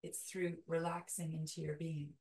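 Echoey, low-pitched woman guiding meditation. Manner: slow, deliberate phrases with long pauses; soothing, calming delivery. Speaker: meditation guide. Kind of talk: guided meditation narration. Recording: roomy echo, distant from mic.

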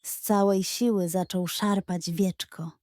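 A woman's voice: medium pitch, fairly even intonation, unhurried, a little mellow, no echo, studio quality. A woman narrating a scripted children's story expressively as a storyteller.

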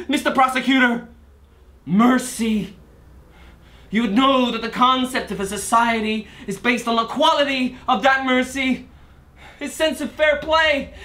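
A bitter, defiant male prisoner, performed by an actor in a dramatic courtroom monologue. His voice is forceful and sharp-edged, and he repeats words as he addresses those who will sentence him. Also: fairly high pitch, natural voice. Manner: halting, repeats words, building from subdued to shouting.

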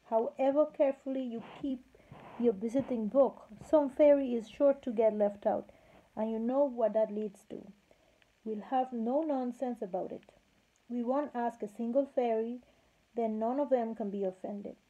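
A woman's voice: on the high side, unhurried, mellow. A woman voicing a firm, stubborn king who lectures the fairies, in a storybook reading. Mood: stern. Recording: slightly muffled lo-fi recording.

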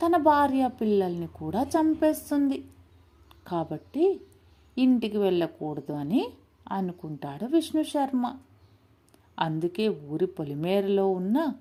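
A woman's voice: medium pitch, warm tone. A woman narrating a moral story in a dramatic storytelling style.

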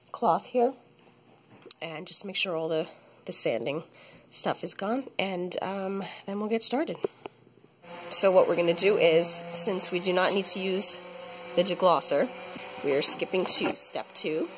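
A woman's voice: on the low side, slight background noise. A young woman casually explaining a home painting project while filming, chatty with many ums. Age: young adult. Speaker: vlogger renovating her home. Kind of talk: casual how-to narration. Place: house, indoors. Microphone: handheld camera.

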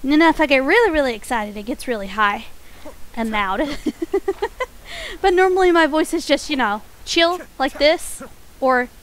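Woman streamer, high and slightly nasal, relaxed chatty delivery, faint hiss on the recording. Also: moderate pace, poor audio. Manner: soft-spoken, chatty, frequent small laughs; voice pitched up from her natural register. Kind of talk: casual game stream chat.

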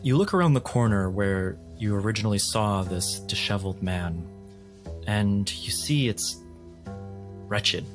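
A man with a lowish voice runs a tabletop game as game master. He describes scenes deliberately to his players. Music plays softly behind his narration.

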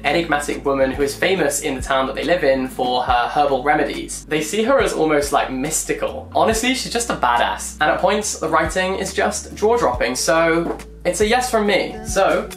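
A young man in his twenties giving an animated, jokey book review vlog, filmed at home and on a night street. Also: mid-range voice. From England. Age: 20s. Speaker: book vlogger. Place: bedroom, indoors; also night street outdoors.

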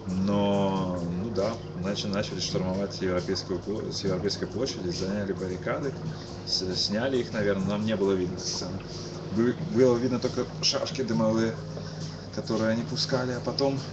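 A tired man in his thirties from Kyiv giving a casual, halting eyewitness account as an interviewee, repeating and restarting his words. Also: fairly low pitch, fairly even intonation. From Kyiv, Ukraine. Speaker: interviewee, musician. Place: cafe-like booth indoors. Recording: muffled lo-fi webcast, loud background noise.

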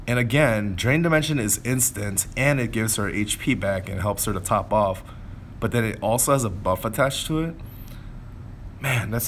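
A man's voice: lowish voice, fairly bright tone, slight background noise. A man giving casual gameplay commentary, informally thinking aloud as he plays. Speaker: gameplay video creator.

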